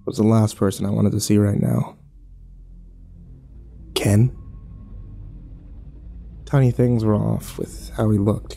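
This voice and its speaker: A man's voice: on the low side, vocal fry, mellow. An actor playing a weary, haunted, cynical man who broods and swears through a first-person horror audio drama. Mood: shaken, grim. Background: music behind the voice.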